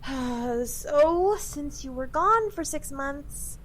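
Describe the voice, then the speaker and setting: A woman's voice: sits high, lively intonation, slow pace, a bit bright, a little hiss or hum, heavily acted, poor audio. A woman playing an affectionate, slightly sheepish young housemate in a comedy audio drama, sweet and coaxing.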